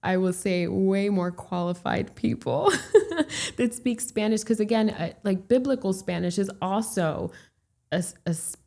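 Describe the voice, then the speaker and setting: A woman from Puerto Rico, a guest in a casual interview, who talks in an animated, chatty way and laughs often. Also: medium pitch, a little slow, vocal fry. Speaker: real estate broker, podcast guest.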